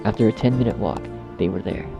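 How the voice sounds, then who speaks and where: A man narrating a dark fan fiction story as a scripted reading. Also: fairly low pitch, lively intonation, quick pace, vocal fry, music in the background.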